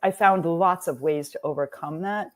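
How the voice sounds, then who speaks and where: A middle-aged woman from Texas who grew up speaking Lithuanian, telling her life story as a guest in a conversational interview, animated and laughing often. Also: fairly low pitch, moderate pace. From Texas, USA. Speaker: guest, writer and nonprofit founder.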